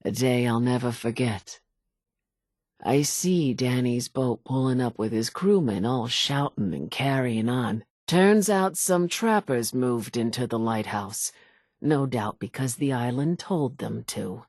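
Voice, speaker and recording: An actress playing a vengeful old island widow in a video game, telling grim tales in a raspy, folksy, theatrical way. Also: female voice, very deep voice, measured pace, a little mellow, dry recording, clean studio sound. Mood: bitter, grim. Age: older. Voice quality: raspy, gravelly. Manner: folksy, dramatic storytelling drawl. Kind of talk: video game character dialogue.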